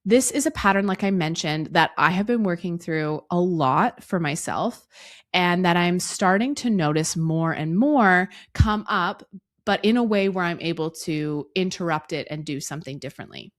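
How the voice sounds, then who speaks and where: A woman in her thirties hosting a solo podcast, her voice hoarse and raspy from a cough, talking earnestly and reflectively to the listener. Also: fairly low pitch, moderate pace. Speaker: podcast host.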